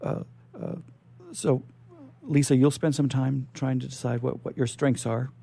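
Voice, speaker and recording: A man hosting a live radio interview, chatting in a halting way and often repeating words as he goes. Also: mid-range voice, fairly even intonation, gravelly, mellow, husky. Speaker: radio show host.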